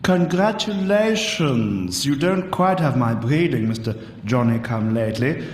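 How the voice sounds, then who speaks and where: Man doing a stuck-up centaur prince, lively sing-song snobbery, roomy and far off. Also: medium pitch, a little slow, slight background noise, lightly acted. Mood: haughty. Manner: haughty, drawling.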